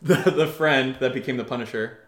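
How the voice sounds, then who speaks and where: A man with a bright, forceful voice chats casually as a podcast co-host. He is animated and likes to tease. The room around him adds a clear echo. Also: medium pitch, moderate pace. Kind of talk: casual banter answering listener questions. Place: indoor room, live-streamed session. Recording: roomy, echoing room sound.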